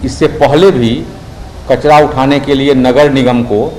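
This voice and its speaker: A man's voice: mid-range voice, steady tone, measured pace, darkish tone, soft background music, low-quality recording. A man with an Indian accent giving an interview statement as an official. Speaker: hospital official.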